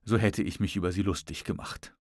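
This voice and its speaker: Courtly young gallant, read by a man, lowish voice. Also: steady tone, brisk, darkish tone, breathy edge, no echo, clean studio sound. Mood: scornful, proud. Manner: formal, courtly, insistent.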